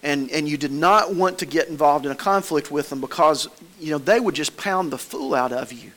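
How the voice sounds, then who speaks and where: A man's voice: on the high side, expressive, normal speed, a bit bright. A man with a Southern American accent preaching a sermon in a church, folksy and conversational, with homespun stories. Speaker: pastor.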